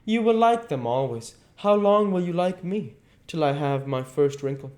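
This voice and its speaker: A man reads as a vain young man gripped by dread of aging. The voice sits high and strained, pleading and swinging wide in pitch. The tone stays dark and mellow underneath. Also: animated, moderate pace, natural voice. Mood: anguished.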